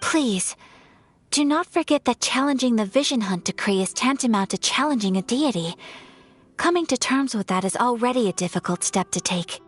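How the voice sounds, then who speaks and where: A woman voicing a composed young noblewoman in a video game, speaking in a formal, measured and polite manner. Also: on the high side, expressive, slightly rough. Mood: calm formal. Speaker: noble of a ceremonial commission.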